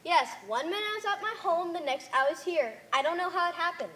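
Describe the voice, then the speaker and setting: A woman's voice: very high voice, animated, fast talker, a bit bright, slight background noise. A woman playing a stubborn, candid Earth girl among aliens in an amateur stage play, plain-spoken and emphatic. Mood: bewildered. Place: theater stage.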